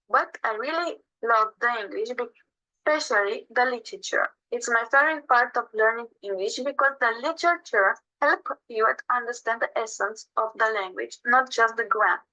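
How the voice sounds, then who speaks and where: Young woman, Romanian native speaker, bright tense tone, fairly high voice, earnest and a bit halting. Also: lo-fi sound. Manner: earnest, slightly halting non-native English. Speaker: webinar speaker. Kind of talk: personal testimony read aloud.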